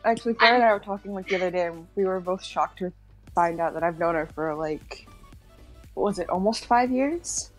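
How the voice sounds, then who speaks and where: A woman's voice: on the low side, a little fast, soft background music, rough recording. A woman in her twenties joining a stream call as a guest, chatting casually and with amusement. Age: 20s. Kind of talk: casual chat.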